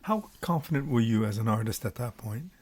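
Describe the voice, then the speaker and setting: A man's voice: medium pitch, expressive, fairly quick, a little mellow, breathy edge. A man hosting a podcast, asking follow-up questions and making brief, attentive interjections. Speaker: podcast host.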